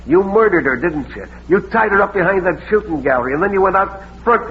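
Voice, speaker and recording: Gruff police sergeant, fairly high male voice, commanding, impatient, old radio crime drama, muffled sound with hiss. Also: lively intonation, fast. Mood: badgering. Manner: blunt, badgering, commanding. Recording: muffled, hissy vintage radio recording.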